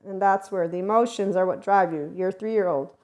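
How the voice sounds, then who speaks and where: A middle-aged woman hosts a spiritual self-help podcast on her own. Her voice sits at an average pitch. She rambles and presses hard on single words, and her loudness keeps rising and falling. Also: normal speed. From America and Italy. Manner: rambling, emphatic, shifting loudness often. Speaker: podcast host.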